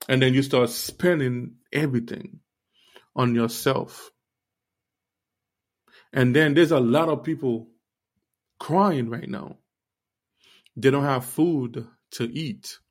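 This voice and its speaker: A man born in Haiti speaks as the host of his own faith podcast. He talks straight to his listeners in an earnest, preachy way, full of "you know" and "like". His voice sits at an ordinary middle pitch. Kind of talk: casual faith podcast monologue.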